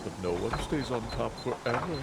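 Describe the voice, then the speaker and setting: An actor playing a sinister, theatrical master of a deadly contest, narrating in a grandiose, gloating, mocking way for a video game. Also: male voice, mid-range voice, gravelly, heavy background noise, full character voice. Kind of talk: dramatic scripted storytelling narration.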